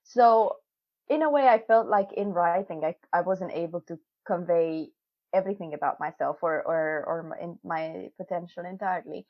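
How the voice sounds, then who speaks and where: Woman with a low voice on a dull video call. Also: normal speed, no room sound. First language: not English. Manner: chatty, laughs often, many fillers and restarts. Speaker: interviewee, PhD student. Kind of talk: casual video call interview.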